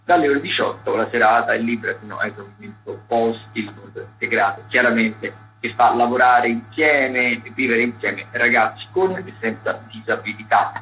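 Guy doing radio events rundown, bright punchy voice, rambles and backtracks, faint music behind. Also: mid-range voice, low-quality recording. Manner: halting, digressive delivery with self-corrections. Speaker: radio culture critic presenting a theatre agenda. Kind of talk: chatty live listings commentary.

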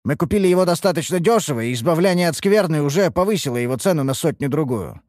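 A man's voice: fairly high pitch, lively intonation, fast talker, a little mellow, dry recording, studio quality, pitched above the performer's own voice, natural voice. A man voicing a sardonic, bossy mage master with a mocking, persuasive manner in a fantasy audiobook. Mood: teasing, persuasive.

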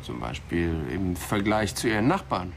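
An actor playing a sardonic, skeptical police detective who needles people, in a German radio crime drama. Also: male voice, low-pitched, big pitch swings, normal speed, vocal fry, slight background noise, heavily acted. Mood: probing.

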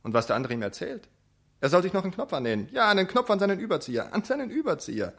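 An excitable male bystander in a radio drama, chattering to himself at a rapid clip. His pitch jumps about with animation, and small thoughtful hums punctuate the muttering. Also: breathy edge, heavily acted. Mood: excited recognition. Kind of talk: radio play interior monologue.

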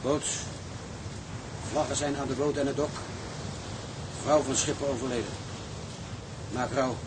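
An actor playing a sober, matter-of-fact ship's mate in a Dutch drama. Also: male voice, steady tone, measured pace, natural voice. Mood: sober command. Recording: very loud rumbling engine noise.

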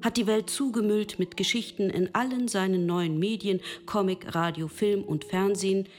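A woman playing a lucid, patient machine in a literary radio play, lecturing the listener directly and deliberately. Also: medium pitch, darkish tone, faint music behind, natural voice. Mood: measured, explanatory. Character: love-capable female machine, lucid, patient, lecturing philosopher.

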